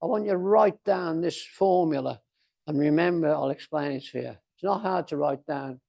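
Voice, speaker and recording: An elderly man, around eighty, delivers a motivational speech. His voice sits high for a man and is mellow, with a gravelly creak running through it. He is theatrical and emphatic, leaning on repetition and letting deliberate pauses hang. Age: about 80. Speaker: motivational speaker. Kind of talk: motivational speech to a club audience.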